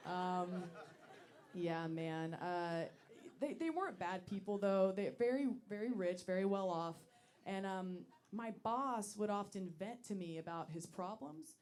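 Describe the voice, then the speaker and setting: Woman, late 20s, low voice, dry self-mocking stand-up delivery, lots of ums. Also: unhurried, some chatter behind. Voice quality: deep voice for a woman. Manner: deadpan, self-deprecating, with many ums. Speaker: stand-up comedian. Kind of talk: stand-up set. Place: comedy club stage.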